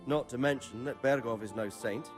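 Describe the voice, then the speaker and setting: An actor playing a wary, fatherly middle-aged medieval nobleman in a game cutscene, speaking in a measured, earnest way. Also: medium pitch, music in the background, lightly acted. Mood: cautioning. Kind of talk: video game cutscene acting.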